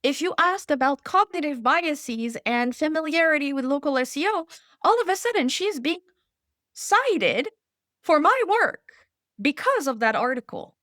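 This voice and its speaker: Animated woman guest, emphatic storyteller. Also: high voice, a little slow, no room sound, studio quality. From Hawaii. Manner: animated, emphatic storyteller who laughs between points. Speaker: guest expert. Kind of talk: conversational interview.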